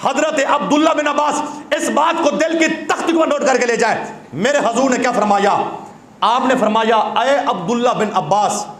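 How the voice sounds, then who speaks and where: A man's voice: very high voice, moderate pace, fairly bright tone. A male preacher with a sore throat, giving an impassioned sermon that breaks into recited verse. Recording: echoey hall.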